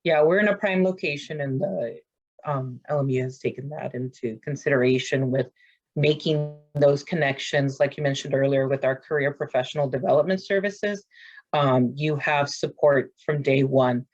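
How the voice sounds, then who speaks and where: A woman's voice: rumbling low, moderate pace, darkish tone. Woman staffer rambling on about campus stuff, lots of ums, muffled lo-fi sound. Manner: rambling, filler-heavy, enthusiastic. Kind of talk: casual video-call chat.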